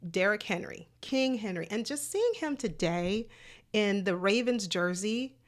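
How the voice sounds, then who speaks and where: A woman hosting a live sports talk stream, chatty, greeting viewers in the chat by name, her voice slightly hoarse. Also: medium pitch, lively intonation, a little slow. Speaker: host.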